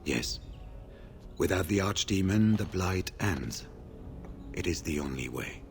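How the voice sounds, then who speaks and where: A man playing a grave, weary older warden in a video game, speaking in a formal, measured way. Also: low-pitched, expressive, vocal fry, soft background music, heavily acted. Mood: somber. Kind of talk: scripted video game dialogue.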